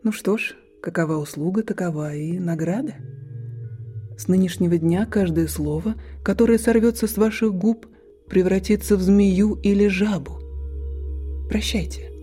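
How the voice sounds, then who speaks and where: A woman voicing a calm, stern female fairy in a fairy-tale reading, speaking in slow, measured pronouncements. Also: mid-range voice, husky, faint music behind, close to an everyday voice. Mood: cold. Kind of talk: storybook reading.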